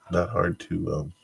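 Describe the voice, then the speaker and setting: A man's voice: low-pitched, creaky, slightly rough, rough recording. A man who says he is congested, hosting a stream where he reads tech news aloud and adds dry, wry asides. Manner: dry, wry asides between stumbling read-outs. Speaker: stream host. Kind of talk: reading tech news aloud with offhand commentary.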